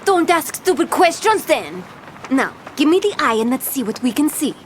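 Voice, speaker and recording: Bossy female pirate captain, highish lively voice, theatrical, audio adventure, faint background noise. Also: normal speed. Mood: scornful. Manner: theatrical, mock-archaic pirate talk. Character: brash, fame-hungry woman pirate captain, theatrical and bossy. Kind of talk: scripted audio adventure acting.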